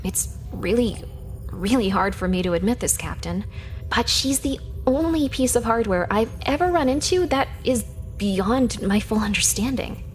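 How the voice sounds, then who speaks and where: A woman's voice: fairly high pitch, lively intonation, normal speed, faint music behind, close to an everyday voice. Woman playing a cocky, dry young hacker woman. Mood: teasing, dry. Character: cocky, sardonic young female hacker in space crew. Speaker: video game character actor. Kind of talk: scripted video game character dialogue.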